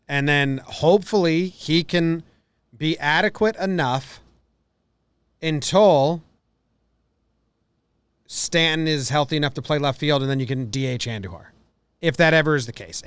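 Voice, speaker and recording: A man's voice: a little high, a bit bright, clean tone, no room sound. A man co-hosting a casual sports podcast, thinking aloud and weighing questions in a tentative way.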